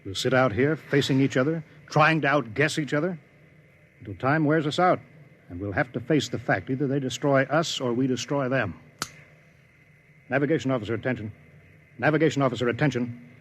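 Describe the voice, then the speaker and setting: An actor playing a stern, weary, resolute starship commander in a 1950s radio drama, authoritative and deliberate. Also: male voice, medium pitch, a bit bright, lightly acted. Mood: grim, urgent.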